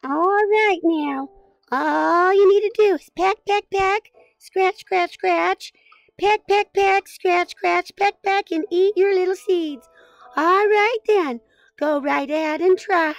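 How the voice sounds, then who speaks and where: A woman voicing a gentle, doting mother hen in a children's story, cooing and encouraging. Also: extremely high pitch, no room sound, faint music behind, pitched above the performer's own voice, full character voice. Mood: gently instructing. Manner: cooing, encouraging, drawn-out delivery.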